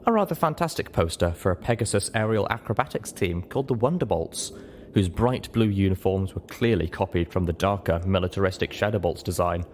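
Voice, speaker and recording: Low-pitched man narrating a story. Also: animated, brisk, a little hiss or hum. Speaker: audiobook reader. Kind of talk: dramatic first-person story narration.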